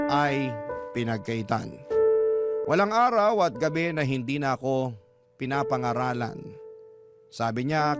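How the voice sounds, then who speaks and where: A man reading a scripted first-person story as the narrator of a radio drama. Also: medium pitch. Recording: loud music bed under voice. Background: music.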